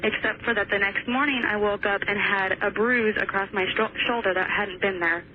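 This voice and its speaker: A woman's voice: mid-range voice, normal speed. A young woman calling a radio show, casually recounting a personal experience in a tentative, hesitant way. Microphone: telephone. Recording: tinny phone line, faint noise.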